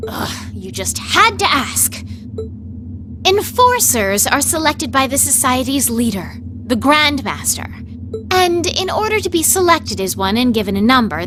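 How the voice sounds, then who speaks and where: A woman's voice: sits high, sing-song, measured pace, noisy background. A woman playing a proud, prickly young female knight in a video game, with haughty, emphatic and formal diction. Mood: defensive. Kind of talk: dramatic video game voice acting.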